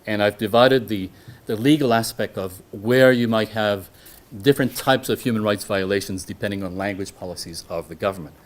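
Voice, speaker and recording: A man whose first language is French, giving an academic lecture on law to a hall audience in measured English, often restarting his phrases and putting questions to the listeners. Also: lowish voice. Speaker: guest lecturer.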